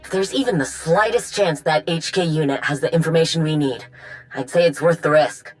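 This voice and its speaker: Game tech ally played by a woman: low-pitched, resourceful, determined, conversational delivery, music underneath. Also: a little fast, natural voice. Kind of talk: video game voice acting.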